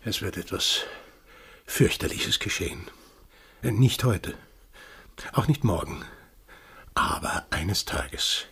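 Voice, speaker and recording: An actor playing a bitter, stubborn accuser, insistent and sardonic, in a radio drama. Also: male voice, low-pitched, lively intonation, takes it slowly, vocal fry, long pauses, sharp tone, slightly rough, heavily acted. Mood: angry, ominous. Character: bitter, stubborn man accusing a village of murder.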